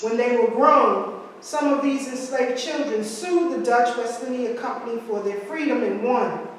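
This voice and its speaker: High-voiced woman lecturing in an echoey hall. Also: a little slow, recorded from a distance, a little hiss or hum. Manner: asides and rhetorical questions to audience. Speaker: history speaker. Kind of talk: lecture reading from slides. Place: large room with hard walls. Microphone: far camera.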